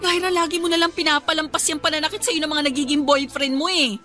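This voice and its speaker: A woman's voice: sits high, steady tone, quick pace, pushed and bright, soft background music. A woman with a Filipino accent playing a bossy, protective young best friend in a radio drama, scolding and insistent. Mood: urgent, protective.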